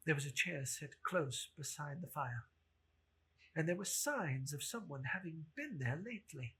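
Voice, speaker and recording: A story narrator giving a theatrical, measured dramatic reading of a literary tale. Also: mid-range voice, a bit bright.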